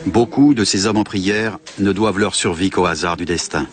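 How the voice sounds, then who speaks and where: Deep male voice-over, measured documentary read, music underneath. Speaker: documentary narrator. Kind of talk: scripted documentary narration. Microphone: studio voice-over mic. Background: background music.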